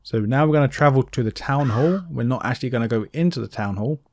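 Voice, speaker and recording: A man's voice: mid-range voice, fast talker, a little mellow, a little hiss or hum. A man giving casual gameplay commentary, explaining things step by step in an informal way. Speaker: game walkthrough commentator.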